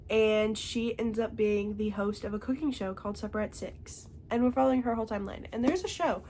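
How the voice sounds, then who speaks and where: A woman's voice: fairly high pitch, a little fast, a little mellow, a little hiss or hum. A young woman with a congested voice chatting casually about the books she read, often checking in with "okay?". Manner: chatty, tags remarks with "okay?". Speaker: book reviewer. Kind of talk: casual chat.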